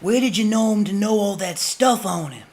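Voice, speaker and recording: A man playing a rough, excitable frontiersman in an audiobook, talking in eager, folksy, ungrammatical phrases. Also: high-pitched, normal speed, pitched above the performer's own voice. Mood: eager, curious.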